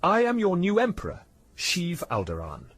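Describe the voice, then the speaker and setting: A man's voice: sits high, huge pitch swings, normal speed, fairly bright tone, slightly rough, no echo, pitched above the performer's own voice, heavily acted. A man voicing a formal, well-dressed older emperor who speaks politely.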